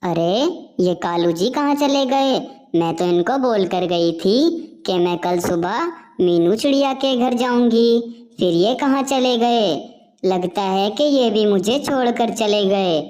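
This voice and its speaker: A woman voicing a wronged wife sparrow in a cartoon, speaking softly and plaintively. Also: medium pitch, slow, close to an everyday voice. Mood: forlorn. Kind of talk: cartoon voice acting.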